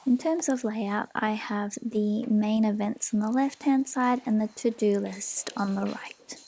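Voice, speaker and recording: An Australian woman talking through her planner pages in a gentle, often half-whispered way. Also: mid-range voice, steady tone, unhurried. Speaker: planner vlogger. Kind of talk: soft-spoken planner flip-through. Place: home desk.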